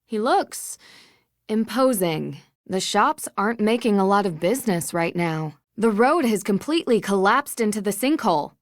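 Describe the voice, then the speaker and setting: An actress playing a young female amateur detective, musing aloud in a measured, observant way for a video game. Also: mid-range voice, animated, no room sound, clean studio sound, close to an everyday voice. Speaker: game protagonist. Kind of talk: scripted game voice lines, thinking aloud.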